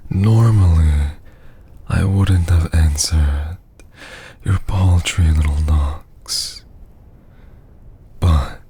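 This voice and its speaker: Man acting as a husky, very slow vampire lord. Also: very deep voice, mellow, exaggerated voice. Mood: taunting, suspicious. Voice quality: breathy, husky near-whisper. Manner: slow, taunting, theatrical. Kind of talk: ASMR roleplay acting.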